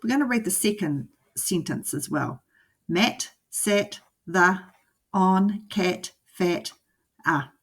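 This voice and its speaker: Husky woman teacher reading to young children. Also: fairly low pitch, lively intonation. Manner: deliberate, clearly phrased. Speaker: primary school teacher. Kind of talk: storybook read-aloud and lesson for young children.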